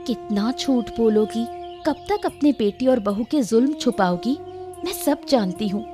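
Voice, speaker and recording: A woman voicing a concerned, sympathetic neighbour who gently chides in an acted story. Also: on the high side, expressive, unhurried, music in the background, voice pushed up. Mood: worried, chiding. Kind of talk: Hindi audio story acting.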